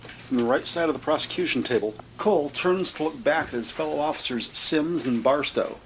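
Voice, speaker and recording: A man's voice: a little high, fairly quick, a little hiss or hum, poor audio. A man narrating a scripted audio drama with detailed, descriptive storytelling.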